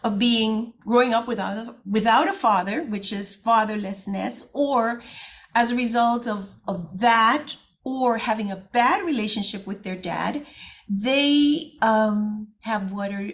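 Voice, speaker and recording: A woman hosting an interview podcast, speaking in an earnest, steady way. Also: on the high side. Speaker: podcast host. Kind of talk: interview host.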